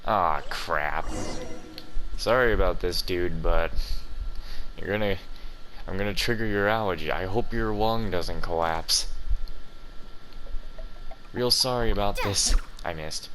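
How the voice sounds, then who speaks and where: A man's voice: sits low, takes it slowly, pauses often, pushed and bright, slight background noise, low-quality recording. A man hosting a gaming video with casual, informal playthrough commentary. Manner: informal, swearing. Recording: game music behind the voice.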